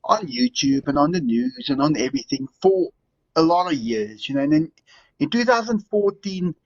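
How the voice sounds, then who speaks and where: A man's voice: a little high, no room sound, lo-fi sound. A man from South Africa with a South African accent, calling into a show and rambling with restarts and laughs. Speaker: caller. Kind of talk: casual call-in chat.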